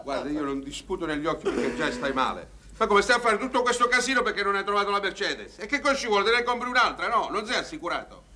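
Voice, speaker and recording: An exasperated friend in a film comedy. He scolds and coaxes in a bright, high, animated voice, with noise in the room. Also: quick pace, exaggerated voice. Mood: coaxing. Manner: scolding, coaxing, colloquial. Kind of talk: Italian film comedy acting.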